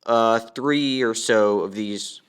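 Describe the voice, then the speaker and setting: A man's voice: fairly low pitch, slow, clear, resonant tone. A young man giving a casual, matter-of-fact walkthrough as he demonstrates a product.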